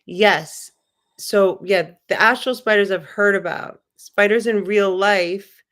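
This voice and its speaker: Smooth-voiced woman musing on a livestream. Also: mid-range voice, takes it slowly, fairly bright tone. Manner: rambling, musing, spiritual talk with filler words. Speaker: livestream host. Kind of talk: casual livestream chat, reading viewer comments.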